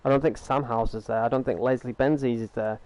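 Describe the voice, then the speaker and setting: A man's voice: mid-range voice, steady tone, brisk, darkish tone, a little hiss or hum. A young man casually chatting with his live-stream audience while he plays, earnest and rambling, often repeating himself over a gaming headset at home. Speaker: game streamer.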